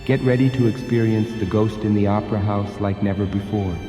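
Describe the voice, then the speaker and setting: Low-voiced dramatic trailer announcer, male. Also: fairly even intonation, a little fast, dark tone. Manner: dramatic, enticing delivery. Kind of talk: promotional trailer voice-over. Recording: echoey hall with music bed.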